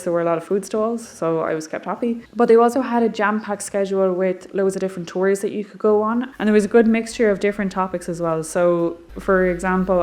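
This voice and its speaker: A woman's voice: lowish voice, a little mellow. Chatty Irish woman vlogging outdoors. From Ireland. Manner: chatty, storytelling, lots of "like" fillers. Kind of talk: casual outdoor travel vlog. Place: outdoors in countryside.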